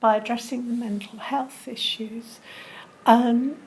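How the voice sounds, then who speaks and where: A woman working in community support gives a measured, explanatory account in an interview setting. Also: on the high side, steady tone, darkish tone, husky, rough recording. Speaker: community support charity worker.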